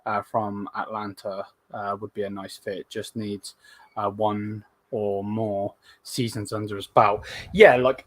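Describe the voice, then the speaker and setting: A slightly hoarse man with a fairly low voice hosts a casual live stream, chatting loosely and filling gaps with plenty of ums. His voice is recorded dry and close, with hardly any room sound. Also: no echo. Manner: rambling, many fillers, relaxed and hesitant. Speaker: host of a live fan stream. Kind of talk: casual live-stream chat reading comments.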